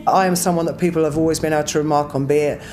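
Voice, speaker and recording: A woman from England with a deep voice, candidly reflecting on her past in an interview. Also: steady tone, very fast, a little mellow, faint music behind. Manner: candid, rambling self-reflection.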